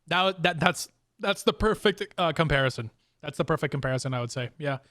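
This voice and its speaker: Casual male podcast host, fairly high voice. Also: expressive, brisk, fairly bright tone, no echo, studio quality. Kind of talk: casual fan discussion of anime.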